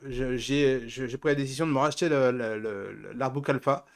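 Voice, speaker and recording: A man's voice: medium pitch, brisk, creaky. A middle-aged man hosting a casual group chat, rambling and often backtracking on his words. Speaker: host of an anime talk show.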